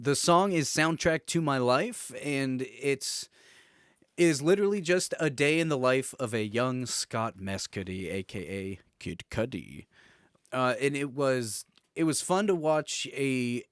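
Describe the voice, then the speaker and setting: A man's voice: medium pitch, no echo, clean studio sound. A man in his twenties co-hosting a casual podcast, informal and slangy, often searching for his words. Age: 20s. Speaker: podcast co-host.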